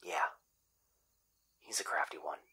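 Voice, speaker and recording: A man playing an anxious, caring young boyfriend in an ASMR roleplay, speaking softly and hesitantly, often in whispers. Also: measured pace. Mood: worried, subdued. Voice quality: soft, breathy, often whispering. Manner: hesitant, gentle.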